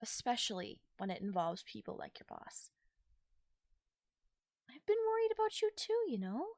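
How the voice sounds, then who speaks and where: A woman playing a caring, affectionate girlfriend in a soft, intimate ASMR audio roleplay, speaking in a tender, coaxing way. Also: a little high, wildly swooping pitch, moderate pace, no echo, studio quality. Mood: soothing, concerned. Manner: tender, soothing, coaxing, breathy near-whispers at times. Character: caring, affectionate girlfriend comforting a tired partner. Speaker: voice actor. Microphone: close microphone in a dry space.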